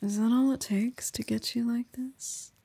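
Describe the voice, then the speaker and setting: A young woman performing an intimate audio roleplay in soft, teasing whispers. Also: fairly high pitch, steady tone, unhurried, vocal fry, slightly rough.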